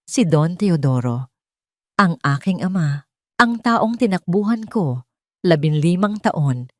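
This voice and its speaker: Low-pitched woman narrating a drama. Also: a little mellow, no echo, studio quality. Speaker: audio story narrator. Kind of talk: first-person dramatic scripted narration.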